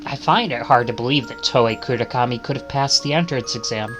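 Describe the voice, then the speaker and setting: A man's voice: medium pitch, brisk, over music. A man reading visual novel text aloud as a streamer, stumbling and correcting himself, with casual asides.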